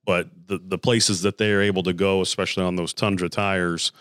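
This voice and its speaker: A man with a deep voice hosts a casual interview, easygoing and admiring as he chats with his guest. His tone is smooth and resonant, recorded dry and close. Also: little pitch movement, normal speed, a bit bright, no room sound. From Arizona. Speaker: podcast host.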